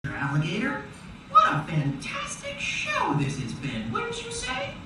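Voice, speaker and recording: A woman's voice: very high-pitched, huge pitch swings, a little slow, loud music behind the voice, heavily acted. A woman voicing a perky, gushing puppet show host with sing-song, exaggerated wonder. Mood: chirpy. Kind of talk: children's puppet show chat. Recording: echoey, distant, noisy home recording.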